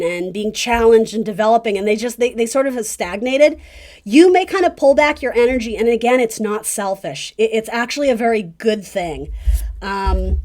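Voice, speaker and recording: A woman's voice: fairly high pitch, darkish tone. A woman hosting a casual tarot reading, talking directly to the listener with encouraging, conversational advice. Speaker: tarot reader and video host.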